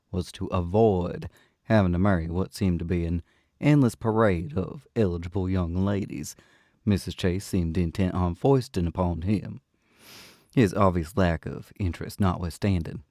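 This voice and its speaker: A man's voice: deep voice, steady tone, moderate pace, warm tone, dry recording, clean studio sound. A man who works as an audiobook narrator and panel host, speaking expressively.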